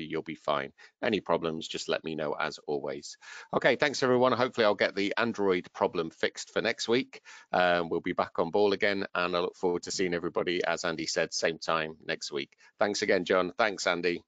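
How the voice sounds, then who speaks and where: A man hosting a training webinar, relaying questions in a friendly, slightly halting way. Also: sits low, a bit bright, no echo. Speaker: webinar host. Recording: dull dry video call audio.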